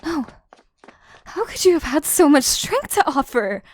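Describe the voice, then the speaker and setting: Woman acting slow-spoken, obsessive yandere woman, high voice. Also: sing-song. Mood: frustrated, disbelieving. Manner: theatrical, formal phrasing, slow and deliberate, dropping into whispers. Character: obsessive, possessive yandere assistant woman, scheming and menacing. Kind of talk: scripted audio roleplay, intimate monologue to listener.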